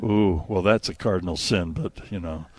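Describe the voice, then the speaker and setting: A man's voice: low voice, normal speed, no echo. An American man hosting a radio show, bantering and jokily teasing callers through a prize giveaway.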